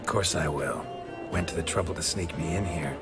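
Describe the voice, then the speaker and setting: A man performs a gruff game monster hunter with an extremely low, gravelly voice. He speaks in short, deadpan phrases with dry wit. Loud music plays beneath him. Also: moderate pace, natural voice. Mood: matter-of-fact. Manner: laconic, deadpan. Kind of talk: video game cutscene acting.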